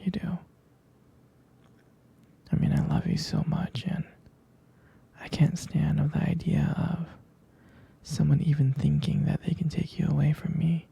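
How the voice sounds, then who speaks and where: A man playing a jealous, possessive but tender boyfriend in an ASMR roleplay, speaking in a hesitant, intimate, breathy whisper. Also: on the high side, level tone, dark tone, exaggerated voice. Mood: possessive, pleading. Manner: hesitant, intimate, halting delivery.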